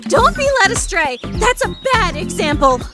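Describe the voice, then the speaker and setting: A dramatic anime schoolgirl in a fan radio play. Her voice is extremely high and very animated, quick to fluster, with prominent music behind it. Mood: exasperated. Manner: theatrical, flustered. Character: dramatic, scheming, guilt-ridden teenage student girl.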